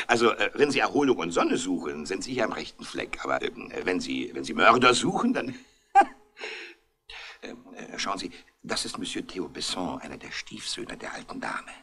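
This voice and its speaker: An actor playing a chatty, eager-to-please local man in a 1960s German radio mystery, halting and giggly. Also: low voice, wildly swooping pitch, pushed and bright, exaggerated voice. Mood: nervous, chuckling.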